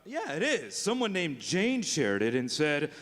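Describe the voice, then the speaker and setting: A man's voice: on the high side, wildly swooping pitch, measured pace, fairly bright tone, heavily acted. A man playing a self-mythologizing, boyishly enthusiastic tech tycoon with a theatrical manner in a comic radio drama. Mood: delighted. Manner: grandiose, theatrical. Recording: live stage show, reverberant hall.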